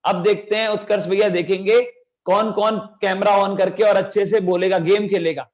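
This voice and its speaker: Young male teacher, high-pitched, sore throat, energetic and coaxing, on a video call. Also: normal speed. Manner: energetic, coaxing kids to shout. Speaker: children's class teacher. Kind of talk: online children's class.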